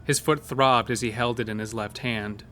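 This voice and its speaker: A man's voice: medium pitch, steady tone, moderate pace, slight background noise. A man narrating a scripted horror story. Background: soft music bed.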